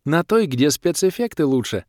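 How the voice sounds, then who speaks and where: Guy doing a giddy mischievous wizard girl, high voice, playful, audiobook style. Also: moderate pace, dry recording, studio quality. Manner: excited, playful. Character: gleeful mischievous young sorceress.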